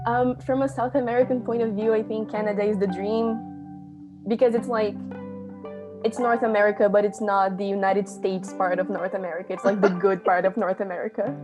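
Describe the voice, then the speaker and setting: A woman's voice: medium pitch, normal speed, over music. A young woman from Brazil, an international student talking casually from her bedroom at home and filling her speech with "like". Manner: chatty, lots of "like", animated.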